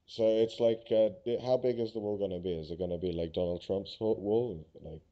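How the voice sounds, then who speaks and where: A man's voice: deep voice, brisk. A man hosting a casual podcast. He talks in an easygoing way, laughs often and uses lots of fillers. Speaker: podcast host. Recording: dull lo-fi sound.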